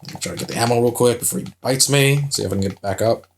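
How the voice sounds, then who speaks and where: A man's voice: medium pitch, fast, a little hiss or hum. A young man co-hosting a casual video game playthrough, rambling and swearing often, with lots of filler words. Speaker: co-host playing a video game.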